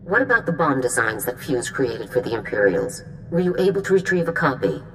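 A woman playing a stern, composed army general in a video game, speaking in a measured, formal, authoritative way. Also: a bass voice, big pitch swings, fairly bright tone, a little hiss or hum, full character voice, low-quality recording. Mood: measured approval. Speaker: military general.

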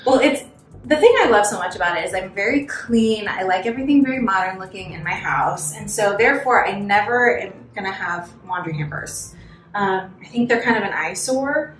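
A woman in her thirties, a homeowner chatting casually and brightly in an interview about her house, laughing often. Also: medium pitch, expressive, a little fast. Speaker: homeowner giving a testimonial. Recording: roomy sound with faint music.

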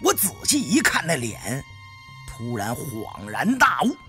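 A male storyteller delivers suspenseful adventure narration in a theatrical style. His pitch swings very widely, and soft music plays behind him. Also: wildly swooping pitch, measured pace. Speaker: audiobook narrator. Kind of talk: dramatic first-person adventure narration.

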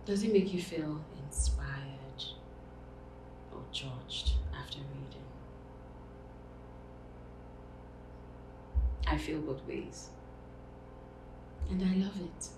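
A Nigerian woman playing a wounded, vengeful big sister in a dramatic monologue, speaking in an intense, breathy, halting hush. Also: low voice. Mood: brooding. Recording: echoey room, background noise.

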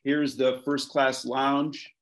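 Male, 50s, American, mid-pitched; relaxed storytelling as a guest on a video-call interview. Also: takes it slowly. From born in France to American parents, raised between Europe, Washington and West Africa. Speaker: interview guest, maritime professional. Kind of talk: casual reminiscing in a video conversation. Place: wood-beamed room at home, indoors.

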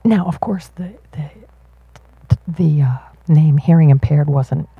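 A woman voicing a signed panel talk as an interpreter, in measured, halting phrases at an auditorium panel. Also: deep voice, breathy edge, no echo, narrow, tinny audio.

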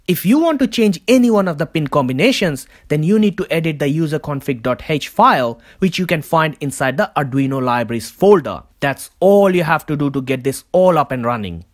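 A narrator presenting a scripted DIY tutorial as the host. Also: mid-range voice.

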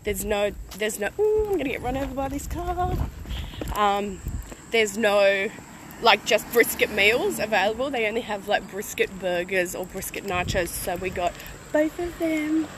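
A woman's voice: mid-range voice, expressive, moderate pace, noisy background. A woman in her thirties with an Australian accent chatting casually and playfully in a slangy road-trip vlog, recorded on a phone. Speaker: vlogger. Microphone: phone at arm's length. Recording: loud music behind phone vlog audio. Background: loud music.

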